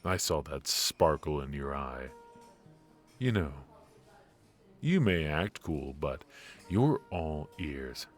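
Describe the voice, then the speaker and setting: A man's voice: rumbling low, big pitch swings, slow pace, pauses often, soft background music, full character voice. An actor playing a charismatic, injured former mafia man in an ASMR roleplay, teasing and flirtatious while he admits to being stiff and worn out. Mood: confiding.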